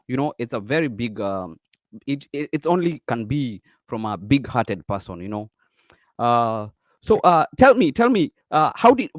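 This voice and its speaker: A man's voice: mid-range voice, no echo, clean studio sound. A man hosting an online interview show, upbeat and chatty, with lots of fillers. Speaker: host.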